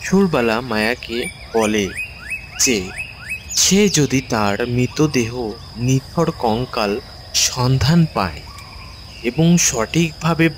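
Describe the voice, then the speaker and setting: A man's voice: mid-range voice, background noise. A man narrating a scripted ghost story for children as a storyteller.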